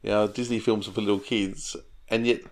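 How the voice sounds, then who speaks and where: A man's voice: on the low side, level tone, fairly quick. A man in his forties co-hosting a podcast, speaking in a thoughtful, earnest way and sometimes laughing as he talks. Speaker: podcast co-host. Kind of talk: casual podcast discussion.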